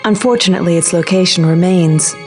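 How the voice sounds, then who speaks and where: A female actor playing a solemn, composed leader of secret agents in a video game, speaking in a measured, formal way. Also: fairly low pitch, a little mellow, lightly acted. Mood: matter-of-fact. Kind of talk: video game briefing dialogue. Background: music.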